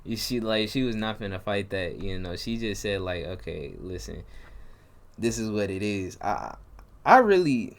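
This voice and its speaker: A man's voice: lowish voice, lively intonation, moderate pace, vocal fry. A man casually reacting to a video, talking slangy and laid-back to his viewers. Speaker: reaction streamer.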